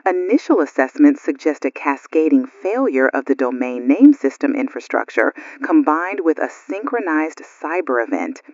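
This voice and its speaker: A woman's voice: deep voice, big pitch swings, moderate pace, few pauses, darkish tone, dry recording, dull audio. A woman playing an anxious radio news co-host during a blackout, in an audio drama. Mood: formal, reading.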